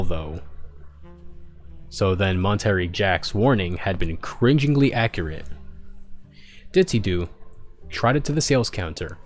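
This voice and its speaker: A man reading fan fiction aloud in an expressive amateur style, sometimes stumbling and repeating phrases. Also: fairly low pitch. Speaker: reader of fan fiction, channel host. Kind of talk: expressive amateur story reading with casual asides.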